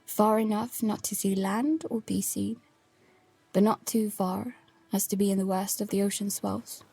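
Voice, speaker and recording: A woman's voice: mid-range voice, steady tone, a little slow, gravelly, warm tone, slightly rough. A young woman playing an earnest, restless daughter narrating life aboard a boat in an intimate, confiding audio drama style. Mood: reflective, confiding.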